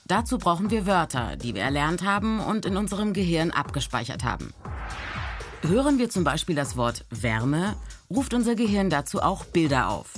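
A narrator explaining ideas in a calm, steady scripted voice-over. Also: medium pitch.

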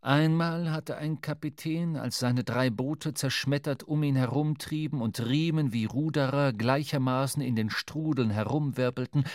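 A man narrating a literary adventure audio drama in a measured, intense, dramatic way. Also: medium pitch, a little mellow, dry recording, clean studio sound.

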